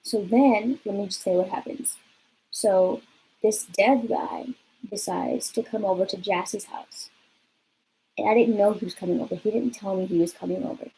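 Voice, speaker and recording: A young woman from California rambles through a personal storytime, filling gaps with "like" and "um" and swearing freely. Her voice sits in a middle pitch. A faint background hiss runs under the recording. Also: normal speed, creaky, warm tone, low-quality recording. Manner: chatty, lots of fillers and swearing. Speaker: vlogger. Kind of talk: casual storytime vlog.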